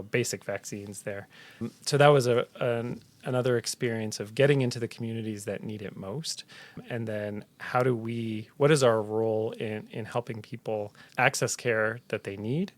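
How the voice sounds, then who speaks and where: A middle-aged man from Tanzania, a health center director, answering interview questions in an earnest, reflective storytelling manner. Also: fairly low pitch. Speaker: health center executive director, podcast guest.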